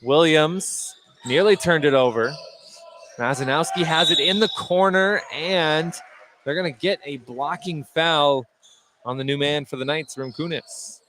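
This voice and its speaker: A man with a somewhat high voice calls a basketball game play by play. He is in a gym, with clear ambient noise and ball bounces behind him. It is a live radio-style sports commentary. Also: unhurried. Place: at courtside in an indoor gymnasium.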